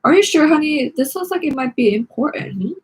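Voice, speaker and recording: A woman's voice: high voice, lively intonation, a little fast, a little mellow, voice pushed up, poor audio. A woman voicing a doting, fussing grandmother who coaxes and chides fondly in a children's book read-aloud. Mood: curious.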